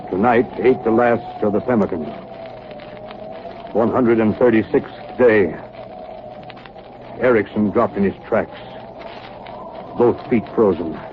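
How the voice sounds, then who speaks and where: A thin, noisy 1930s radio drama recording features an actor as a stern, driven ship's captain. His voice is fairly low. He speaks slowly in terse, commanding phrases. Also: lightly acted. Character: stern, driven ship's captain keeping a grim survival diary. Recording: muffled phone-like, clearly noisy 1938 radio recording.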